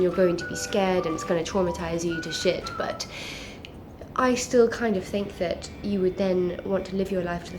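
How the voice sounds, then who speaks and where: A woman's voice: mid-range voice, low-quality recording. A woman with a mixed South African and English accent, stuffy and nasal from a cold, chatting enthusiastically about books to camera. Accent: mix of South African and English. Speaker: book vlogger. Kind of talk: casual book chat to camera.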